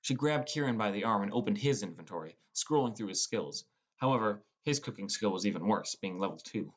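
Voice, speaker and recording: A man with a fairly low voice narrates scripted fiction in the first person. He reads as an audiobook narrator, telling the story line by line. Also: expressive, brisk.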